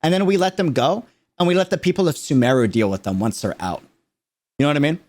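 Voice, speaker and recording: A man's voice: on the high side, fast talker, darkish tone. A man giving a casual livestream reaction in an emphatic, slangy way, repeating phrases to drive them home. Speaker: streamer reacting to a game character trailer.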